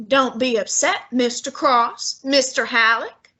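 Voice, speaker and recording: A woman playing a portly, imperious male detective genius in a student radio drama, measured and condescending. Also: high voice, bright, forceful tone, husky, heavily acted. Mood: commanding. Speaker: student actor.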